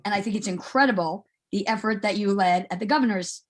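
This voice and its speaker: A middle-aged woman puts a question at an online meeting. Her pitch is average for a woman. She talks informally and chattily, taking her time to circle toward what she wants to ask. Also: quick pace. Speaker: meeting attendee asking a question.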